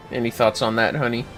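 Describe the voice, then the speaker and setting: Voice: mid-range voice, rough recording. A game livestreamer chatting casually while playing, with wry, sweary asides.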